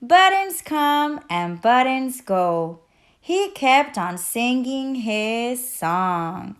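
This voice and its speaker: High-pitched, slow-paced woman reading to children. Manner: expressive, addressing young children with questions. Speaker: teacher and children's read-aloud host. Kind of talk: children's picture book read-aloud with sung refrains.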